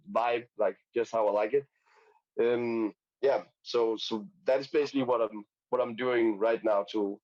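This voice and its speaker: A man from Denmark, a podcast guest who talks haltingly, repeating words and using many fillers. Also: medium pitch, a little mellow. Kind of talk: casual interview chat. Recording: lo-fi podcast audio.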